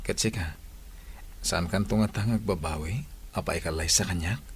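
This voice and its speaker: An actor playing an earnest, grateful grown son about to marry, in a radio drama. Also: male voice, low voice, moderate pace, a little hiss or hum. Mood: earnest, tender. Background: faint music.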